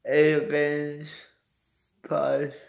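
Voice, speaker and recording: A young man giving a casual sports recap to his phone at home, halting as he searches for words. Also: fairly high pitch, monotone, creaky. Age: young adult. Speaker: sports vlogger. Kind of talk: casual postgame basketball recap. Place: small indoor room at home. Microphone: phone at arm's length.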